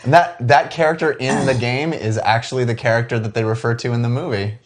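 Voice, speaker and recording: A man's voice: mid-range voice, steady tone, fast talker. A man co-hosting a casual commentary with friends, speaking in a blunt, wry way. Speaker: show host giving commentary.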